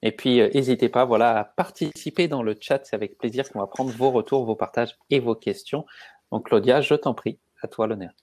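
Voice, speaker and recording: A man hosting a live webinar, welcoming viewers and giving instructions in a measured way. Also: mid-range voice, darkish tone. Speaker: webinar host.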